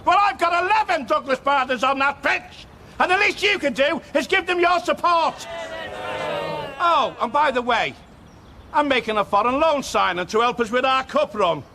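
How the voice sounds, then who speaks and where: High-voiced, blustering English football manager. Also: male voice, animated, moderate pace, pushed and bright, heavily acted. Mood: rousing, defiant. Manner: blunt, stammering when flustered. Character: blustering, exasperated, down-to-earth male English football club manager. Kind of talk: film or TV comedy acting.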